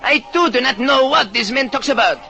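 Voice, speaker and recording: An actor playing a vain, florid little artist with a French accent in an old radio drama. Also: male voice, very high voice, fairly quick, bright, forceful tone, muffled recording, soft background music, exaggerated voice. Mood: distressed. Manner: florid, formal, self-important. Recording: noisy vintage radio recording.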